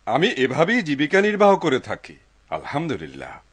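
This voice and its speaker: A man's voice: on the high side, huge pitch swings, normal speed, exaggerated voice. A man voicing a humble, devout woodcutter in a cartoon, kind and cautious, musing aloud to himself expressively. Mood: grateful. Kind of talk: dubbed cartoon voice acting. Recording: clear background noise behind the voice.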